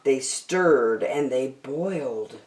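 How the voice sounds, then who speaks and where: A woman reading a rhyming picture book aloud as a storytime host, expressive and theatrical, addressing young children. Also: very deep voice, takes it slowly, slight background noise. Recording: roomy, echoing sound.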